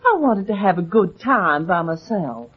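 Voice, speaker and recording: A woman's voice: medium pitch, big pitch swings, measured pace, breathy edge. An actress playing a coy, sugary, evasive Southern woman with an American Southern accent in a vintage radio drama. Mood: defensive. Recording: muffled vintage radio recording.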